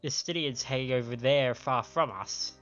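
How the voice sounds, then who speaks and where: A man's voice: mid-range voice, moderate pace, a bit bright, muffled recording. A man hosting a live game stream with casual, joking, chatty commentary. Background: faint game music.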